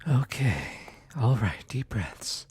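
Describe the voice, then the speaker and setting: A performer playing a gentle, awkward demon soul retriever in an ASMR audio roleplay, speaking softly and hesitantly, often close to a whisper. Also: medium pitch, sing-song, slight background noise. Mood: uneasy muttering. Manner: hesitant, halting, sighing, muttering to self.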